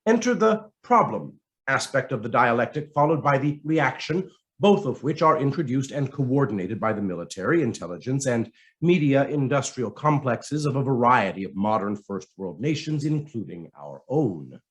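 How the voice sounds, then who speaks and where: A man reading a scripted commentary aloud in a dramatic, emphatic way with deliberate phrasing. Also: medium pitch, very animated. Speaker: reader of written commentary.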